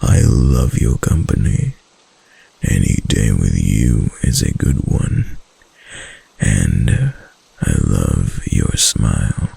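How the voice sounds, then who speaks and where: A man playing a doting, possessive kitsune husband in an intimate roleplay ASMR, with a soft, husky, lingering murmur. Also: big pitch swings, slow, exaggerated voice. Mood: adoring. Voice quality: very deep, husky, gravelly.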